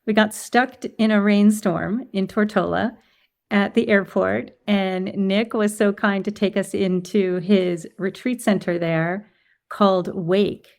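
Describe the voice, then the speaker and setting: A woman's voice: medium pitch, steady tone, takes it slowly, vocal fry, a little mellow. A woman hosting an interview podcast who greets her guest, asks questions and affirms his answers. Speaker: podcast host.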